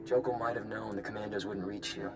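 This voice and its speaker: A man's voice: lowish voice, fairly even intonation, fast talker, natural voice. A man playing a matter-of-fact adviser in a video game, reporting in a businesslike, informative way. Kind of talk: video game cutscene acting. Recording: loud music under the voice.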